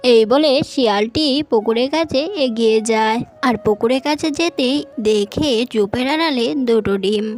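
High-voiced woman narrating a children's story. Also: normal speed. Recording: music behind the voice.

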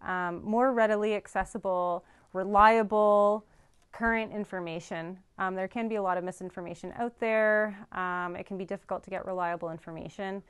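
A woman's voice: mid-range voice, steady tone, normal speed, clear, resonant tone. A young woman farm veterinarian answering interview questions thoughtfully, with frequent ums and restarts, seated in a studio. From Canada. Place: studio with dark backdrop.

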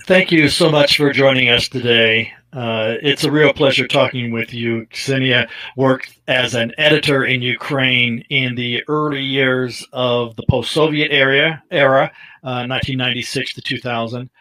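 Middle-aged guy hosting on a headset video call, lots of uhs, bright voice. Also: mid-range voice, steady tone, moderate pace, low-quality recording. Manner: hesitant, many ums and uhs. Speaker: interviewer, host. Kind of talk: interview questions.

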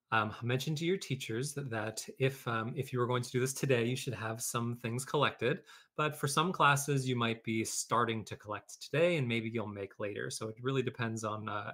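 A man's voice: medium pitch, a bit bright. Male educator, mid-range voice, conversational delivery for a children's read-aloud lesson. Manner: conversational, addressing pupils and teachers. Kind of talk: children's story read-aloud and informal classroom instruction.

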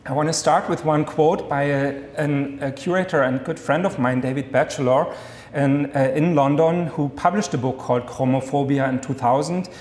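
A man in his thirties with a German accent gives an enthusiastic academic lecture from a podium, talking fast with many fillers and restarts. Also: a little high, a little mellow. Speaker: guest lecturer. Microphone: podium mic. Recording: echoey lecture hall, long reverb.

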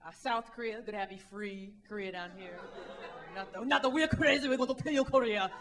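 A woman in her thirties, from the Midwest, doing a wry, animated stand-up set on a club stage with a handheld mic and acting out the voices of people she quotes. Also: medium pitch, brisk, a crowd in the background. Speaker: stand-up comedian. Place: comedy club stage, indoors.

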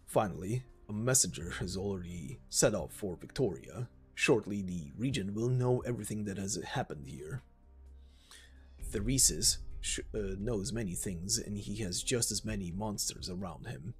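A man voicing a stern, weary mercenary warrior who cautions gravely in an amateur reading of a game story. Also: fairly low pitch, animated, moderate pace, faint music behind, lightly acted. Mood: grave, businesslike.

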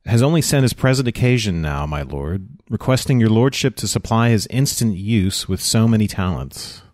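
A man's voice: fairly low pitch, animated, normal speed, a little mellow, close to an everyday voice. A man playing a deferential, earnest servant in a Shakespeare play reading. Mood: earnest deference. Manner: respectful, earnest.

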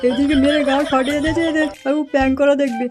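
Squeaky, fast-talking cartoon troublemaker. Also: male voice, level tone, loud music behind the voice. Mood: indignant. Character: rowdy, foul-mouthed young male prankster troublemaker. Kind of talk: comic cartoon voice acting.

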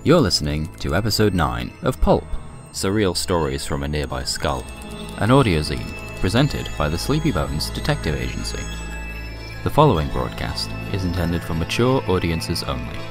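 A man's voice: low-pitched, big pitch swings, moderate pace. A man narrating a first-person comic short story in a dry, colloquial, sardonic way. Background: music.

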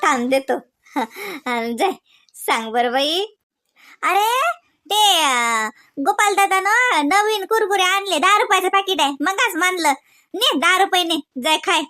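A doting cartoon village mom, very high and bright, with a Varhadi Marathi accent. She is gullible and proud, gushing in exclamations and repeating her words. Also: unhurried, exaggerated voice. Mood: proud, generous. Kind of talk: comedy cartoon dialogue.

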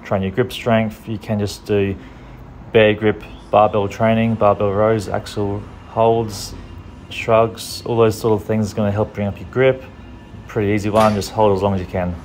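A young man from a strongman gym, giving a relaxed, practical training tutorial in plain everyday words. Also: lowish voice, moderate pace, slight background noise. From Australia. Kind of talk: casual training tutorial voice-over.

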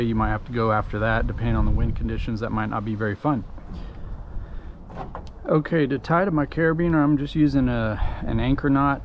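A middle-aged man with a Texan accent, giving a relaxed, folksy step-by-step how-to while working at a pickup truck tailgate outdoors, with frequent ums and uhs. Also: medium pitch, dull audio. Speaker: DIY tutorial host. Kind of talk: casual step-by-step how-to narration. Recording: noisy outdoor camera audio.

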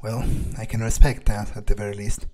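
A man's voice: on the low side, level tone, fairly quick, darkish tone. A man role-playing a game character in a playthrough, thinking aloud in a hesitant, musing way. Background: game music.

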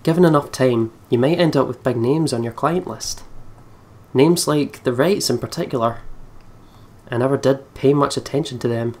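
Male gamer, mid-pitched, narrating visual novel text aloud in a storytelling style. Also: moderate pace, darkish tone. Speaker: let's play co-host reading aloud.

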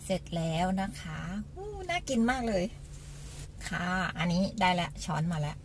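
A woman's voice: lowish voice, sing-song, moderate pace, a little hiss or hum. A woman casually hosting a food vlog, talking politely and repeating her phrases as she explains. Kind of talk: casual mukbang food presentation with eating.

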